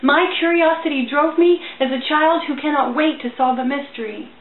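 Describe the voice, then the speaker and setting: A woman's voice: high-pitched, normal speed. A woman giving a theatrical, emotional dramatic reading as a storyteller. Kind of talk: dramatic reading of a religious allegory. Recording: tinny, band-limited, echoey, faint noise.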